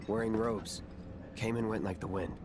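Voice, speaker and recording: An actor playing a cool, aloof young mercenary in a video game, terse and detached. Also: male voice, fairly low pitch, normal speed, background noise, close to an everyday voice. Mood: guarded. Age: young adult. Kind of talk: video game cutscene acting. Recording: loud music behind the voice.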